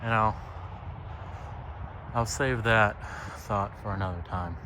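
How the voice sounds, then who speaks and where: A man thinking aloud in a halting, casual, reflective monologue while walking outside on a trail. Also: fairly low pitch, steady tone, pushed and bright. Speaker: solo vlogger. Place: outdoors, walking on a trail by a lake. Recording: outdoor ambient noise.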